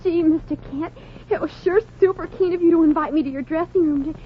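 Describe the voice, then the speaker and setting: A woman's voice: extremely high pitch, fast talker, soft background music. A woman playing a starry-eyed, adoring American teenage fan in a 1940s radio comedy, eager and gushing. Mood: starstruck, eager. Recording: muffled, hissy 1940s radio recording.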